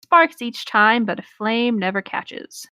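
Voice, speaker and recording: Higher-voiced woman reading a story casually. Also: animated, unhurried, pushed and bright, clean tone, dry recording. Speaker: co-reader of an internet story. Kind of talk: casual first-person story reading with banter.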